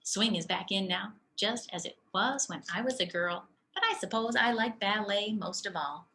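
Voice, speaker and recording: Storybook role: older female dance teacher, done by a woman reader, kindly, wise, slow and deliberate, clear bright tone. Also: mid-range voice. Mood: patient, instructive.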